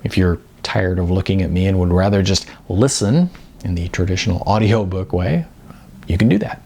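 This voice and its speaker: Male author, audiobook narration, gravelly fry, somewhat deep, dramatic emphasis. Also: moderate pace, a little mellow. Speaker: author reading own novel.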